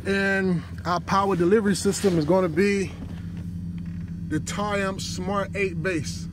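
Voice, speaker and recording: A man's voice: sits high, steady tone, unhurried. A man hosting a hands-on product test, explaining each step to the viewers as he goes. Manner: explanatory, addresses viewers as "you guys". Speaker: car audio reviewer and host. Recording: clear background noise, vehicle ambience.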